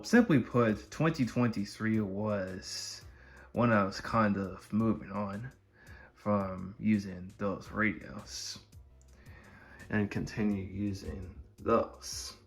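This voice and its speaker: A man speaks in a low voice with plenty of vocal fry. He narrates a casual showcase, putting his sentences together in a halting, wordy way. Faint music plays behind him. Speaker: video creator presenting a collection.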